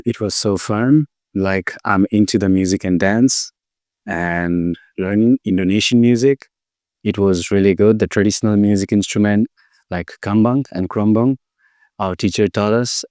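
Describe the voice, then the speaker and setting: Easygoing man, smooth low voice. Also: fairly even intonation, normal speed, a little mellow, dry recording, clean studio sound. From Nepal. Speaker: music producer, interview guest. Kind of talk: casual interview answers.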